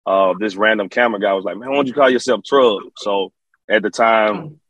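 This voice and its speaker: A man's voice: fairly low pitch, a little fast, a bit bright, rough recording. A man from Memphis with a Southern accent, a rapper casually telling stories as an interview guest, peppering his talk with slang and repeated filler phrases. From Memphis, Tennessee. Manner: repetitive, slang-peppered, frequent "you know".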